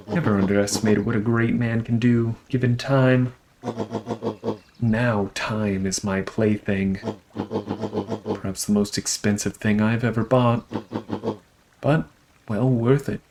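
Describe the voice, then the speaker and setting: Pompous old small-town tyrant for a game, played by a man; deliberate, gloating, over clear noise. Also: fairly low pitch, steady tone. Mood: smug menace. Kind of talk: video game character voice acting.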